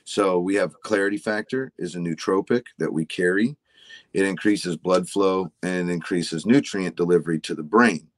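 Deep-voiced man in his forties explaining. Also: normal speed, no room sound. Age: 40s. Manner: explanatory, frequent "right?" tags. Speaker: supplement company guest. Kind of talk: casual health explainer.

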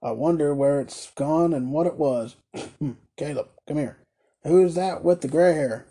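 A man's voice: fairly high pitch, a little slow, warm tone, breathy edge. A man voicing a smug, domineering older male merchant in a storybook reading, curt and condescending. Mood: gruff, curt.

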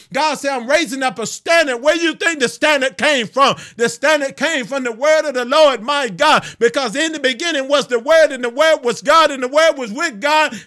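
A man leading a fervent spoken prayer. He punctuates each phrase with a repeated refrain, breaks into shouting, and chants scripture in a sing-song cadence. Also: very high voice. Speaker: prayer leader.

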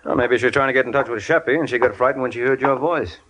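An actor playing a tough, wisecracking American private detective with a dry, sardonic delivery in an old-time radio drama. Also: male voice, medium pitch, rapid-fire, muffled recording, background noise, natural voice. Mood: cool, wry. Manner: dry, sardonic, clipped hard-boiled talk. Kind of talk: 1940s-50s radio detective drama acting.

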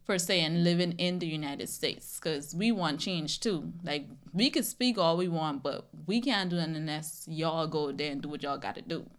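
A woman's voice: low voice, normal speed, hardly any pauses. A woman in her twenties from The Bahamas, chatting casually as a podcast guest. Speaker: podcast guest sharing own experience. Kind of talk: casual chat.

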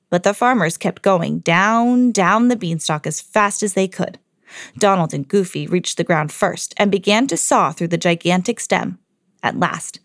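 A woman storyteller hosting a podcast, reading a children's fairy tale expressively with clear enunciation. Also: mid-range voice, no echo, clean studio sound.

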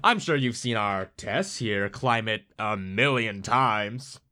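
An actor playing a smug, showy TV game show host with theatrical announcer hype in an audio drama. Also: male voice, fairly low pitch, animated, slow pace, sharp tone, exaggerated voice. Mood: smug, teasing.

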